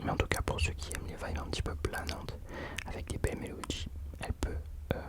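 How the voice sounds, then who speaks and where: A man hosting ASMR in an unvoiced whisper, chatting informally and hesitantly, correcting himself as he goes. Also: brisk. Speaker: ASMR host. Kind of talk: whispered ASMR chat about rappers.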